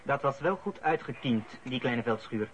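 An actor playing a methodical, coolly goading police interrogator with a Flemish accent in a radio play. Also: male voice, medium pitch, lively intonation, moderate pace, lightly acted. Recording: dull radio play, faint noise.

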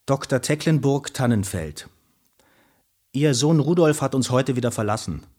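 A formal, stern doctor in a radio play, acted by a man. He sounds officious and matter-of-fact as he reads out reports. His voice sits at a medium pitch. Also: animated, moderate pace, darkish tone, lightly acted. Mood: reproachful. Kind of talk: scripted radio play, letter reading.

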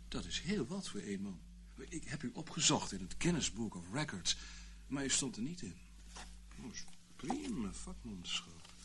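An actor playing a sarcastic, mock-polite intelligence official in a radio play, speaking dry, leisurely officialese. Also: male voice, medium pitch, fairly bright tone. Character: wry, sarcastic male intelligence official, calmly toying with his captive. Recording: noisy old radio play recording.

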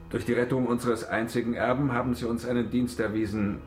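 A man's voice: medium pitch, little pitch movement, fairly quick, echoey room, soft background music, lightly acted. A man acting a stiff, middle-aged count and father in a German radio drama, speaking with formal ceremony. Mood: ceremonious.

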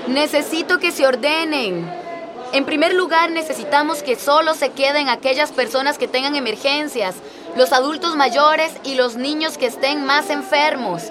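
An actress playing an overwhelmed aid worker in a radio drama, pleading and exasperated. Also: female voice, sits high, normal speed, close to an everyday voice. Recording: crowd clamour behind.

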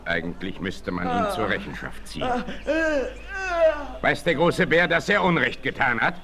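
An actor playing a stern, fair-minded frontier leader in a radio play, declaiming with booming authority. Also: male voice, moderate pace, crowd noise, close to an everyday voice. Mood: grave. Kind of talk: 1960s German radio play acting. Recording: faint noise, old vinyl record.

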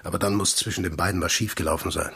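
An actor playing a gruff, weary, commanding intelligence chief in a German radio drama, terse and deliberate, with a husky, gravelly voice. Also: male voice, sits low, fairly even intonation, pushed and bright, exaggerated voice. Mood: grimly matter-of-fact.

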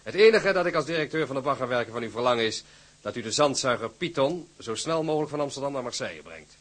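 A man's voice: medium pitch, lively intonation, fast talker, lightly acted. Man acting hearty businesslike director, very swooping intonation, formal and courteous, radio play. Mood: assured. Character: genial, businesslike male company director. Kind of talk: Dutch radio drama acting.

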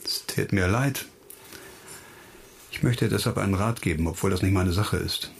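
Earnest investigator with a gravelly edge. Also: male voice, deep voice, moderate pace, a little hiss or hum. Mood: persuasive. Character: male police investigator, earnest, persistent, quietly compassionate. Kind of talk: radio crime drama acting. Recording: studio radio drama, music underneath.

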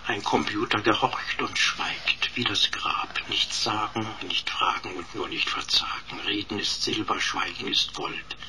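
An actor in a radio drama playing a cheeky computer sidekick, speaking in a pompous, wordy, mock-deferential manner. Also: male voice, lowish voice, a little slow, hardly any pauses, whispered, lightly acted. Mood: mock-humble.

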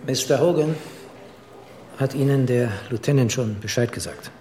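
An actor playing a sober, considerate hospital doctor in a radio drama, speaking in a measured, matter-of-fact way. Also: male voice, medium pitch, mellow, a little hiss or hum, close to an everyday voice. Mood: grave, factual. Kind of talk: German radio drama acting.

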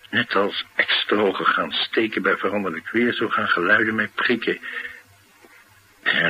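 An actor playing a dry, sardonic, reticent former bodyguard troubled by an old head wound, in a Dutch radio drama. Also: male voice, deep voice, sharp tone, slight background noise, poor audio. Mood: brooding, intense.

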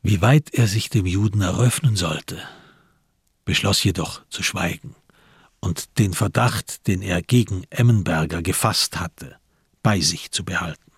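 A man with a husky voice, narrating a radio crime drama from a literary script. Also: deep voice, measured pace, no room sound, studio quality.

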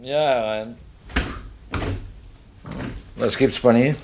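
A man's voice: mid-range voice, noisy background. An actor playing a polite, methodical male police inspector in a vintage radio crime play. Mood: questioning. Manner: polite, measured, probing questions. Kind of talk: 1970s German radio drama acting.

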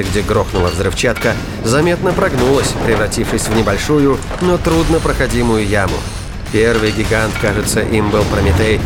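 Male sci-fi thriller narrator over music. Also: mid-range voice. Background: music, battle sound effects.

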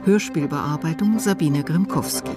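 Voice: mid-range voice, normal speed. Radio drama announcer over music.

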